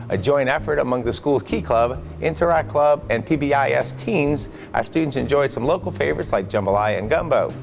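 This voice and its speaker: A man's voice: mid-range voice, gravelly. A man hosting a local school news program, reading scripted narration. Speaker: program host.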